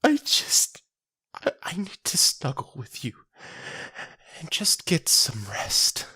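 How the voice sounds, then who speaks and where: A performer voicing an anguished dying woman of about thirty, a grieving wife and mother who pleads in drawn-out phrases, in an audio drama. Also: medium pitch, huge pitch swings, sharp tone, slightly rough, no echo, pitched above the performer's own voice, full character voice. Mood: anguished pleading.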